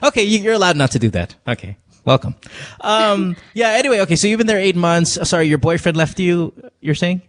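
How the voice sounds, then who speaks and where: A man's voice: sits high, expressive, brisk, few pauses. Chatty guy hosting an advice call-in, bouncy up and down voice, cracks jokes, talks frank. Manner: joking, frank, mixes in Tagalog words. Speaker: radio advice show host. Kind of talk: casual call-in advice chat.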